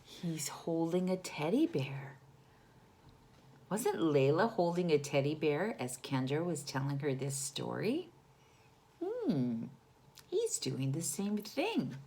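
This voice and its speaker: A woman reads a children's story with a very lively, swooping delivery at an unhurried pace. Her voice sits a little low, and she speaks like a teacher, stopping to question and explain things to her young audience. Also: a little hiss or hum. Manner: animated, teacherly, pauses to question listeners and explain words.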